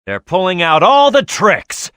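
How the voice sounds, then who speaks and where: A man's voice: high-pitched, huge pitch swings, measured pace, bright, forceful tone, no room sound, clean studio sound, heavily acted. A man voicing a tough, hot-headed echidna brawler in a video game, proud and blunt in manner. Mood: fired up.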